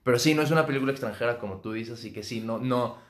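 Easygoing Mexican podcast host. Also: male voice, mid-range voice, racing. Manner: relaxed, joking, stop-start. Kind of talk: casual chat.

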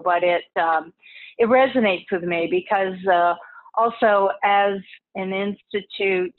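A woman from Texas, a podcast guest who founded an institute, talking casually and chattily over the phone. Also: fairly low pitch. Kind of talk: casual interview talk. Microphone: phone line. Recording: tinny phone line.

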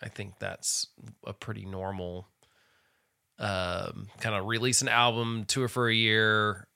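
A man hosting a casual music podcast, rambling and restarting as he tells animated stories. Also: fairly low pitch, slow pace, creaky, clear, resonant tone. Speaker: podcast host. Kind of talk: casual chat about music.

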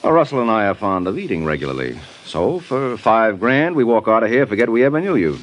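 An actor playing a suave, wisecracking private investigator in 1940s radio detective drama, with breezy, slangy tough talk. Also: male voice, lowish voice, big pitch swings, brisk. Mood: hard-boiled, pressing.

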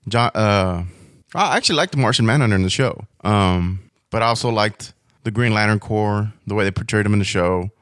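A man's voice: on the low side, a little fast, fairly bright tone, clean tone, dry recording, clean studio sound. A man chatting casually in an informal, rambling storytelling way, as a podcast guest. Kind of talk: casual chat about superhero comics and cartoons.

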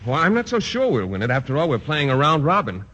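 An actor playing a shy, bashful biology teacher who stammers and hesitates, in a 1940s radio sitcom. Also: male voice, on the high side, huge pitch swings, fast talker, fairly bright tone. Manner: hesitant, stammering, formal wordy. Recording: dull old radio broadcast with faint hiss.

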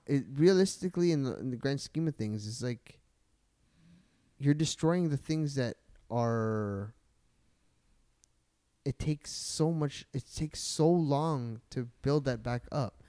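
A man's voice: mid-range voice, takes it slowly, mellow. A man chatting casually on a podcast, emphatic and swearing freely, with many restarts. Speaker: podcast co-host. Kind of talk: casual chat.

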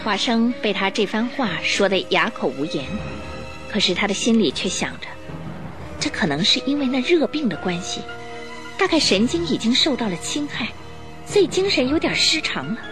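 Lively woman narrator over music. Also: fairly high pitch. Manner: expressive, measured storytelling. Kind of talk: dramatic scripted story narration for radio drama. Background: music under the voice.

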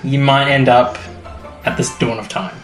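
A man's voice: medium pitch, moderate pace. Male fan-podcast host, mid-range voice, chatty and eager, informal phrasing, roomy sound. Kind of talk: casual banter about a sci-fi series. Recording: roomy echo, faint music behind.

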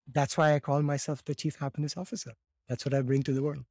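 Animated man in his fifties, dry sound. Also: fairly high pitch, fast, no room sound. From born in Morocco, raised in India. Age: 50s. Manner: animated, acts out quotes, repeats words when starting. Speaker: guest, laughter yoga teacher. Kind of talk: podcast interview storytelling.

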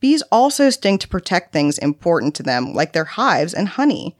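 A woman voicing a patient female carer who coaxes and soothes a volatile child, explaining things deliberately in a narrated story. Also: lowish voice, big pitch swings, no room sound, clean studio sound. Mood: gentle, insistent.

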